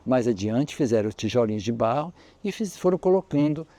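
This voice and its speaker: A man's voice: medium pitch, lively intonation, a little fast, mellow. A Brazilian man from guiding a visitor through a historic house, explaining its history in a rambling way with many restarts. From Brazil, Espírito Santo. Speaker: local historian guide. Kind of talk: on-site interview, explaining history.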